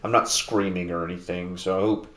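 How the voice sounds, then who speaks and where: A man with a deep, low voice rambles through relaxed gameplay commentary, full of fillers and half-finished restarts. He sits some distance from the mic in a house, so his slightly raised voice comes through with a roomy echo. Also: fairly quick. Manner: talking louder than normal to make up for mic distance. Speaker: gameplay video host. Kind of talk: casual gaming commentary. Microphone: sitting at a distance from the mic.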